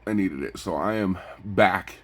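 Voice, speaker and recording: A man with a stuffy nose chats casually in a personal vlog, rambling and halting, often repeating his phrases. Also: sits low. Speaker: vlogger. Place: recorded lying down.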